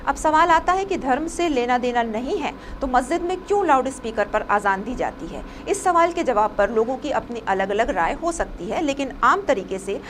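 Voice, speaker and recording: A woman's voice: high-pitched, lively intonation, moderate pace, few pauses. A woman reading a scripted news report as a presenter, with some noise behind her.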